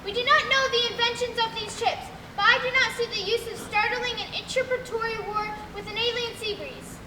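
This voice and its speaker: A woman's voice: very high-pitched, fairly even intonation, brisk. A young woman playing a flustered female army general in a comic radio play, stumbling formally and mangling words. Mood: flustered, official. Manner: stumbling, mispronouncing, formal briefing delivery. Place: stage in a hall. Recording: echoey hall, far mic, clear noise.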